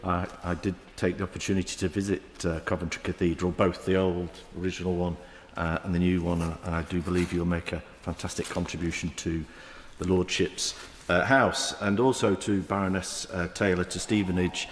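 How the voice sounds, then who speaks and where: A man in his fifties with a Manchester accent, giving a halting parliamentary debate speech with many fillers and restarts. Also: deep voice, little pitch movement, slight background noise. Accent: Mancunian. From England. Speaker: member of the House of Lords. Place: large echoing debating chamber. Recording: roomy chamber with long reverb.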